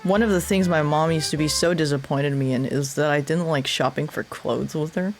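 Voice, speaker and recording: A woman with a notably low voice telling relaxed stories in easy, casual talk. Faint music runs underneath her. She reflects as she goes, in a calm and unhurried way. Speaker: streamer. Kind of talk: casual chat on a live stream.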